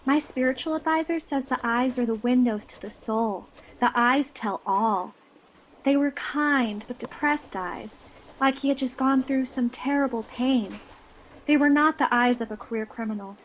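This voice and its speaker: A woman's voice: fairly high pitch, moderate pace, slight background noise, natural voice, rough recording. A woman playing an earnest, dreamy bank teller giving witness testimony in an audio drama. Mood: musing. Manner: earnest, musing.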